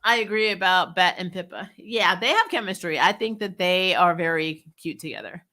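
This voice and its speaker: A woman giving a casual, frank, salty and animated spoken review as the host of a recap show. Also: on the low side, normal speed. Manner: animated storytelling, laughs often, frank and salty. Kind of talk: casual chatty episode review, reacting to live comments.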